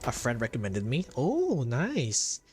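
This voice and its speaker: A man's voice: mid-range voice, huge pitch swings, measured pace, slight background noise. A man chatting casually with viewers while livestreaming a game. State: hiccups. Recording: game music behind the voice. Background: game music.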